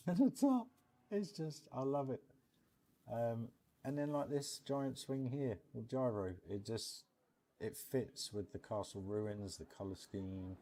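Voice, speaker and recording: Deep, mellow British man streaming casually. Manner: chummy, addresses viewers as mate and bud. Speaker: game streamer and host. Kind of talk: casual live stream chat over a theme park building game.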